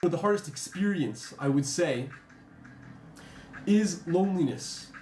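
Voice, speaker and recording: A man's voice: fairly high pitch, lively intonation, a little mellow, soft background music. Reflective young man in a roomy space. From United States. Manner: measured, reflective, with frequent ums. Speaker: former soldier interviewee. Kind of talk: earnest interview testimony.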